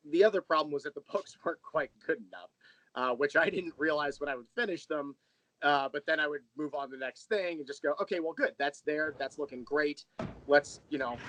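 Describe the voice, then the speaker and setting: A man's voice: on the high side, a bit bright, rough recording. An American man chatting casually as an interview guest, candid and self-deprecating in a rambling way. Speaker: interview guest, audio drama creator.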